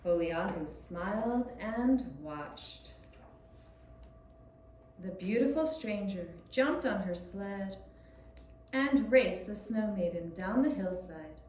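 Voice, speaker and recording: A woman telling a scripted story for young children in a gentle, deliberate manner. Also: medium pitch, animated. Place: echoey room, puppet show performance. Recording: roomy echoing sound with faint hiss.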